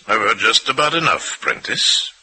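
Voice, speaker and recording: An actor playing an imperious older executive and secret embezzler, measured and condescending, in old-time radio drama style. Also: male voice, mid-range voice, lively intonation, pushed and bright, slight background noise, low-quality recording. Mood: indignant. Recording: radio drama with music underscore.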